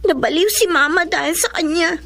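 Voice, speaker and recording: A woman playing a high-voiced young girl. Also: a little fast, gravelly, a bit bright, exaggerated voice. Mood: bitter. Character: young girl, bitter daughter, then bright younger sister. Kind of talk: radio drama acting.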